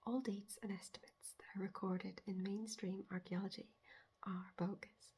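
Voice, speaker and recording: Female ASMR host, hushed and measured, extremely breathy whisper with little pitch movement, explaining folklore. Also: little pitch movement.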